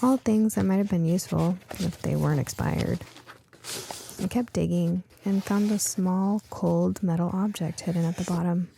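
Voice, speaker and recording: Woman, audiobook narrator, mellow tone, gravelly fry, expressive suspenseful delivery. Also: lowish voice, moderate pace, a little hiss or hum. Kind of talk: first-person audiobook narration.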